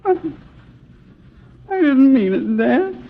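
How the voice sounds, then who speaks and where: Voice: high voice, sing-song, slow, creaky, heavily acted. An actor playing a distraught teenage boy, crying and whining as he pleads, in an old radio crime drama. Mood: tearful, pleading. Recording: dull old radio recording.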